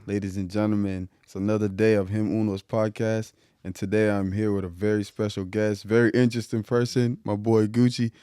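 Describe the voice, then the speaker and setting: A man's voice: on the low side, level tone, brisk, mellow, smooth, dry recording, studio quality. A man hosting a podcast, asking questions in a laid-back, slangy way. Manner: laid-back slang, "you know what I'm saying", "man", "bro". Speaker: podcast host. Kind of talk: casual interview chat.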